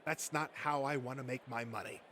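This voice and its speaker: A man's voice: mid-range voice, fairly even intonation, brisk, bright, forceful tone, slight background noise, close to an everyday voice. A man voicing an abrasive, foul-mouthed young adult male comic fan with a crude, defiant way of talking.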